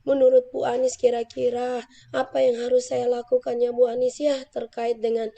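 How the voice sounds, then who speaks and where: A woman teacher with a Central Sulawesi Indonesian accent, hoarse from a cold, politely and earnestly explaining her classroom struggles in a coaching conversation. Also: fairly high pitch, monotone, normal speed, dark tone. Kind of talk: role-play coaching conversation.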